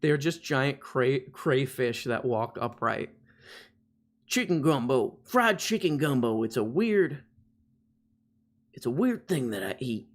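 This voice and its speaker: A man's voice: mid-range voice, animated, slow. A man voicing an over-the-top Southern man who boasts about eating fried food, in a drawling, hammy comic impression on a livestream.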